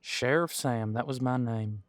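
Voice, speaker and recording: A man's voice: medium pitch, unhurried, no room sound, natural voice. A man playing a friendly, long-serving supernatural squad cop in an audio roleplay, chatting in an easygoing, folksy, slightly hesitant way. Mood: folksy, reminiscing. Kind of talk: conversational audio roleplay to an unseen partner.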